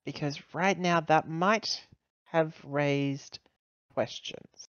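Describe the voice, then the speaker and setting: A woman's voice: low-pitched, drawn-out words, no echo, muffled recording. A woman playing a sardonic, exasperated corporate executive who sighs and speaks pointedly in a tabletop game. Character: exasperated female corporate executive, sardonic, scolding her subordinate.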